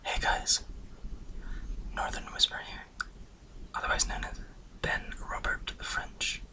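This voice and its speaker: A man's voice: slow pace, sharp tone, rough recording. A man in his twenties from Norway, whispering a rambling, self-deprecating life story for an ASMR video. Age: 20s. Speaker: ASMR channel host.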